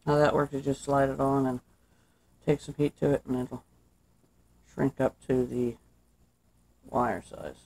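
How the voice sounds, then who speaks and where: A man's voice: mid-range voice, unhurried, creaky, long pauses, rough recording. A young man casually talking through a wiring job step by step, recorded indoors at a workbench on the camera's mic. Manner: relaxed, thinks aloud while working. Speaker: DIY maker. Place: indoor workshop table.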